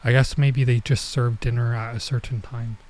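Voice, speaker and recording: A man doing casual let's play commentary as a streamer, informal and halting as he reads and mutters through translations. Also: medium pitch, flat delivery, darkish tone. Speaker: streamer playing a visual novel.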